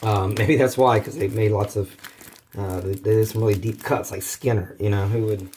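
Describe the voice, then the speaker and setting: A hoarse man, rambling casually through a toy collector's unboxing video. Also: lowish voice, steady tone, moderate pace, darkish tone, slight background noise. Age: 30s. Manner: rambling, many fillers and restarts. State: hoarse from bronchitis.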